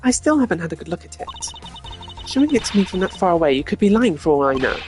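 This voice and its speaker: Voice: mid-range voice, sing-song, quick pace, a little mellow, soft background music, voice pushed up, close to an everyday voice. A streamer putting on a smug, lilting young woman suspect. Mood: dismissive. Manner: teasing, dismissive, theatrical. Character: smug, mocking young woman suspect under cross-examination. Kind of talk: video game character voice acting.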